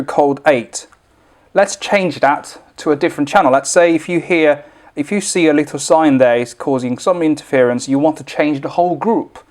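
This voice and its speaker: A man's voice: fairly high pitch, a little mellow. A man presenting an informal product tutorial, explaining each step in a plain, instructional way.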